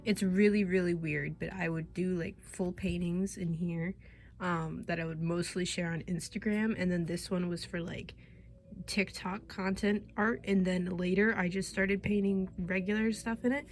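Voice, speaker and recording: A woman's voice: lowish voice, steady tone, moderate pace, a little hiss or hum. Chatty young woman over a cold. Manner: chatty, rambling, laughs often. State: recovering from a cold. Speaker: artist vlogger. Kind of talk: casual chat.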